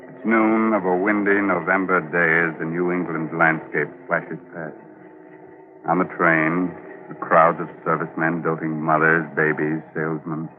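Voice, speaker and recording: An actor playing a ghoulish, jokey horror show host with a theatrical, mock-friendly manner in 1940s radio style. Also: male voice, sits low, background noise. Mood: ominous narration. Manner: mock-friendly, theatrical, chuckling gallows humor. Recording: muffled narrow-band 1940s radio, music behind.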